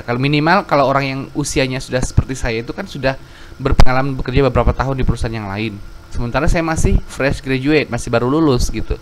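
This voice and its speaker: A man in his thirties tells his story earnestly, rambling and correcting himself as he goes. His voice sits at an ordinary middle pitch. A faint hiss runs under the recording. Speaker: YouTube religious storyteller. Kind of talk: reading a viewer's letter, then personal testimony.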